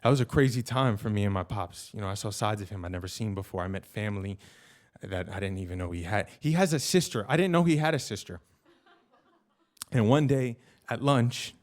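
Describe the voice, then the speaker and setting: A middle-aged man telling a personal story live on stage, colloquial and animated, with slangy asides. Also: medium pitch.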